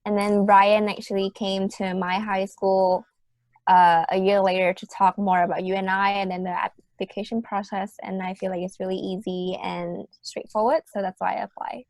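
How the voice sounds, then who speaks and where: A woman's voice: medium pitch, little pitch movement, normal speed, a little mellow, no room sound. A woman in her early twenties from Vietnam with a Vietnamese accent, giving informal answers as a student panelist. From Hanoi, Vietnam. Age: early 20s.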